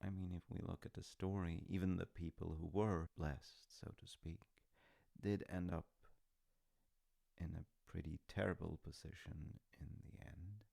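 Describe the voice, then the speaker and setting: Man playing a deep, gravelly, easygoing storytelling dad. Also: fairly even intonation, mellow, full character voice. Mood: reflective. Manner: rambling, wry, hesitant pauses with asides. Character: easygoing dad telling his grown child Norse myths. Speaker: audio roleplay voice actor. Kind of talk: intimate conversational storytelling roleplay.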